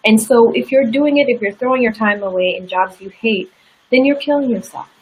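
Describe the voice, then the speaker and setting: A woman's voice: a little high, brisk, a little mellow, husky, rough recording. A woman guest in an interview, telling a personal story in a candid, earnest way. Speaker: interview guest, success coach.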